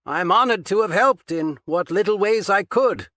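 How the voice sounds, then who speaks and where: A pompous, menacing villain , as a male narrator puts him on, who gloats in a lifted, loud, theatrical voice. His pitch sits well above the narrator's own and swoops with relish. The recording is dry and close. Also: lively intonation, normal speed, no room sound. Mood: gloating. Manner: raised, grandiose, taunting delivery.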